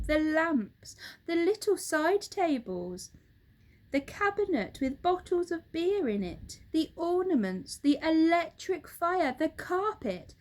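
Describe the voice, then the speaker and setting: A woman reads a children's book aloud. Her voice is high and very lively in its rise and fall. She takes her time, phrasing each line deliberately for drama. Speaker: storyteller. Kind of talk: expressive children's story reading.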